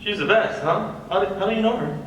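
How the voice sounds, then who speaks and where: A man's voice: sits high, a little fast, fairly bright tone, lo-fi sound. A man playing an insecure, jealous stand-up comedian with a sulky, sardonic manner in a script reading. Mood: uneasy, deflated. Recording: very echoey hall, far mic, faint noise.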